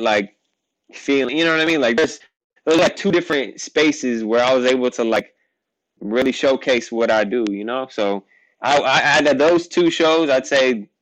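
Young guest, Portuguese first language, loose rambling talk, dry close sound. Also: male voice, mid-range voice, a bit bright, no echo, low-quality recording. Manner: loose, rambling, says "like" and "you know what I mean" a lot. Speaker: rapper guest. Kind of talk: casual interview chat.

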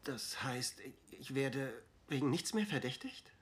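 A man's voice: medium pitch, lively intonation, a little slow, husky. An actor playing a distressed, bitter young man, blunt and exasperated, in a dubbed TV drama. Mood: wary, hurt. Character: distressed, broke, bitter young man dragged into murder cases.

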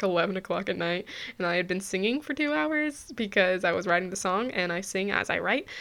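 A woman's voice: medium pitch, fairly bright tone. A young woman hosting a podcast, talking casually, chatty and laughing easily, often restarting her phrases. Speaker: podcast host.

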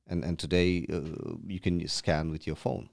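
A man's voice: low voice, level tone, measured pace, darkish tone, no echo, studio quality. A man from Sri Lanka, a podcast guest explaining things casually in a rented room and often restarting his words.

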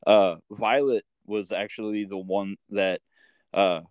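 A man's voice: on the low side, fairly even intonation, slow pace, clear, resonant tone, no room sound, lo-fi sound. A man hosting a podcast, chatting casually in an interview. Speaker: podcast host and comic maker.

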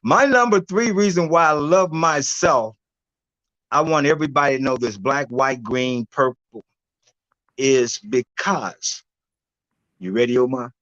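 A man in his sixties, a guest herbalist, telling his story in a loud, emphatic, preacher-like way over a video call. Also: mid-range voice, a little slow, pauses often, dry recording, highs cut off.

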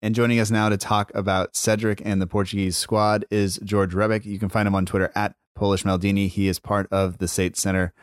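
A man's voice: lowish voice, level tone, a little fast, few pauses. A man hosting a podcast, talking in an easygoing, loosely phrased way. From United States. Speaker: podcast host. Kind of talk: casual podcast chat.

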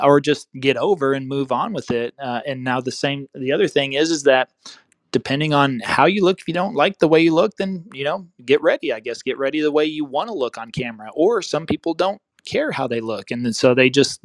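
A man's voice: medium pitch, dry recording. A man hosting a podcast, giving casual advice in a rambling way and correcting himself as he goes. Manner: rambling, self-correcting, chuckles often. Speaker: real estate podcast host.

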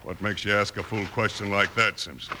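Gruff, low-voiced Western villain. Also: male voice, brisk, bright, forceful tone. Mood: impatient, curt. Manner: gruff, curt, bullying demands. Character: ruthless, impatient male rancher villain, a killer boss. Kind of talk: 1950s Western radio drama acting. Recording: noisy vintage 1950s radio recording.

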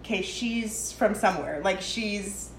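A Lebanese-born woman in casual chat, telling animated stories, acting out voices and laughing often. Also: medium pitch, a little slow, echoey room, a little hiss or hum, low-quality recording.

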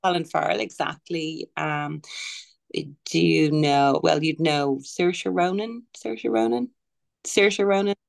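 A woman's voice: sits low, slow, gravelly. A woman with a Dublin Irish accent, chatting casually as a guest author and telling stories with easy laughter. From Ireland. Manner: chatty, laughs easily, storytelling. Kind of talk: casual podcast chat.

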